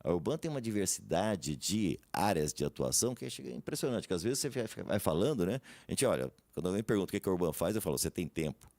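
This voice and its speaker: A man's voice: deep voice, big pitch swings, fast, few pauses, a little mellow, no echo. A man, a guest in a radio interview, talking in a rambling, hesitant way and tagging his sentences with "né?". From Brazil, grew up in São José dos Campos. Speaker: guest, company director.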